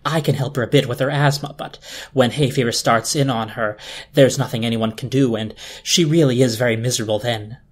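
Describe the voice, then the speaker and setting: A knowing storybook vaporizer, played by a woman. The voice sits very low and husky, giving matter-of-fact explanations. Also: a little fast. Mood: knowing, sympathetic.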